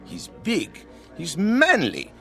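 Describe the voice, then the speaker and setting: An excitable film director who gives orders in a theatrical way. His voice is high and swoops wildly up and down. It is a radio drama. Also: vocal fry, soft background music, heavily acted. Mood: bossy. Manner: brisk, bossy, theatrical.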